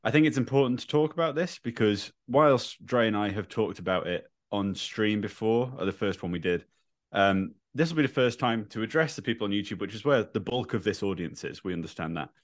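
A man's voice: fairly low pitch, lively intonation, a little fast. A man co-hosting a podcast, speaking candidly and reassuringly to viewers, admitting he is a bit nervous. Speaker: podcast co-host. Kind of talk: earnest address to viewers.

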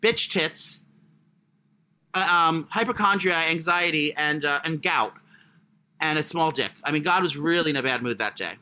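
A man with a high voice chatting as a podcast co-host, crude, rambling and self-mocking. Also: moderate pace, pushed and bright. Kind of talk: casual chat.

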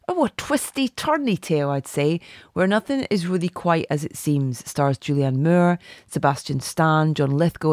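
A woman hosting a podcast, hoarse and croaky today, chatting enthusiastically with plenty of ums and asides. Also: low-pitched, no echo, studio quality. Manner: chatty, enthusiastic, lots of fillers. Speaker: podcast host. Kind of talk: casual podcast intro.

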